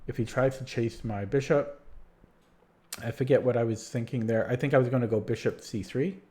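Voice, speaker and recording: A man's voice: medium pitch, brisk, mellow. Male streamer, late-night, fatigued and rambling, voice fading. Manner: tired, rambling, many restarts and fillers. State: losing his voice. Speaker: streamer solving chess puzzles. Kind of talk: casual live-stream chat.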